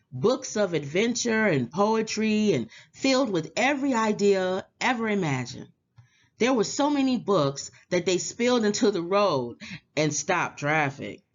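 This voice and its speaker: A woman reading a children's storybook aloud in an expressive, theatrical storytelling manner. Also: mid-range voice, dull audio. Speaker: read-aloud presenter.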